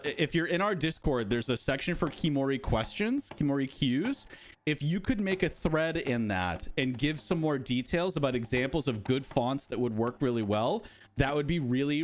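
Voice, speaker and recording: A man hosting a casual live stream for a game studio, chatting and answering viewers' questions. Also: mid-range voice. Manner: chatty, rambling, talks to chat.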